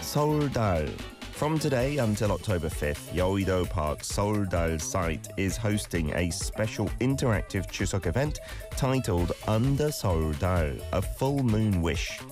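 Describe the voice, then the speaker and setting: A man's voice: on the low side, animated, a little slow, music in the background. A man whose first language is Korean, hosting a radio show: he reads entertainment news from a script and chats casually between items with an easygoing, chatty manner. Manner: easygoing, chatty asides with fillers. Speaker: radio host. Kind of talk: scripted news reading and casual on-air chat.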